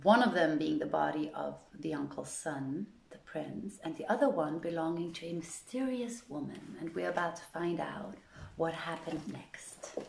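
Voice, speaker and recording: A woman reading a story aloud in a measured, deliberate storytelling manner, with an informal live feel. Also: low-pitched, vocal fry, echoey room, slight background noise, poor audio. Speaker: reader in a theatre project's online reading series.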